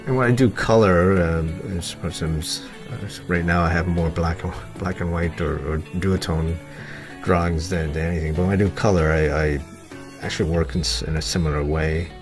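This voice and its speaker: Low-voiced man giving a halting tutorial over guitar. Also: steady tone. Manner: hesitant, halting, thinking aloud. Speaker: digital art tutorial presenter. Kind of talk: unscripted software tutorial voice-over. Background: guitar music.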